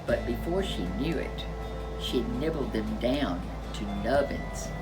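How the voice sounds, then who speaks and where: Very animated woman reading a children's story. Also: a bass voice, over loud music, rough recording. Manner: animated, drawn-out emphasis with chatty asides. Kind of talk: expressive read-aloud narration for young children.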